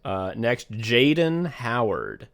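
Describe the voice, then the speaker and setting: Rambling, laughing young podcast host. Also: male voice, medium pitch, big pitch swings, takes it slowly, vocal fry, fairly bright tone. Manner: laughing, loose and rambling, swears freely. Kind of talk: casual podcast chat.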